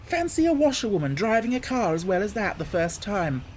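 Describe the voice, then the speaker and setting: A reader voicing a kindly, hearty gentleman motorist in a storybook, talking in a genial, encouraging way. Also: medium pitch, sing-song, fairly quick, a little hiss or hum, voice pushed up, natural voice. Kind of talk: storybook reading.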